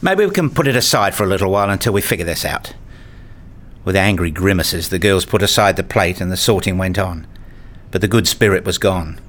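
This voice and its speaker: A man's voice: low-pitched, animated, fairly quick, fairly bright tone. A man reading scripted first-person narration for an audio play, slipping into acted dialogue as a father.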